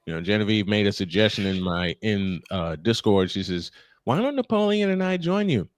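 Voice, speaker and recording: A man with a low voice hosts a live baseball call in a dry, close sound. He slips from play-by-play into folksy, joking chat. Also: very animated, normal speed, dry recording. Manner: folksy, joking asides between calls. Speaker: play-by-play baseball announcer and stream host. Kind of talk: live sports commentary mixed with casual chat.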